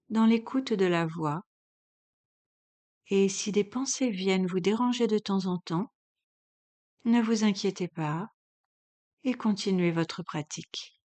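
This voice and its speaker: A woman with a mid-pitched voice guides a relaxation session as a yoga instructor. She speaks very slowly and calmly, leaving long pauses between measured instructions.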